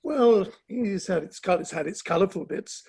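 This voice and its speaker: An actor playing a gossipy Victorian literary gentleman, clipped and droll, with a raspy voice, in a dramatic play reading. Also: high-pitched, expressive, moderate pace, full character voice. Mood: amused.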